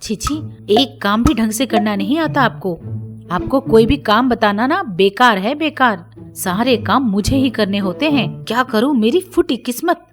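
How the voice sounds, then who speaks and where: Woman acting a shrill, quarrelsome young daughter-in-law. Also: expressive, a little slow, music in the background. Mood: scornful. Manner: scolding, complaining.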